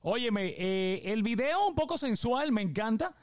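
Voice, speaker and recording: A man's voice: very high voice, animated, measured pace, a crowd in the background. A Puerto Rican man hosting a live radio interview, enthusiastic and chatty, with many fillers. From Puerto Rico. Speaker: radio host.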